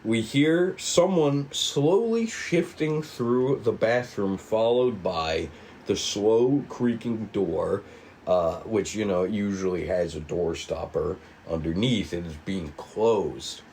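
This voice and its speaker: A man reading screen directions aloud at a table read, with measured, dramatic storytelling and casual asides. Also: fairly low pitch, a little mellow, a little hiss or hum. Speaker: writer and narrator of a table read. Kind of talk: scripted narration of screen directions.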